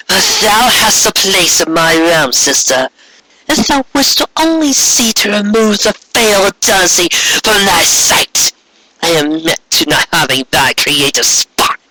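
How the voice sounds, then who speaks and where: A man's voice: sits high, sharp tone, voice pushed up, exaggerated voice, poor audio. A man voicing an imperious, self-righteous elder female alicorn queen in a fantasy story, speaking in grandiose, haughty archaic phrases.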